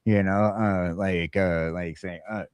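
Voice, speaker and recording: A man hosting a casual morning chat show, talking in a halting, repetitive, opinionated way. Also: deep voice, fairly even intonation, smooth, dry recording. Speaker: talk show host.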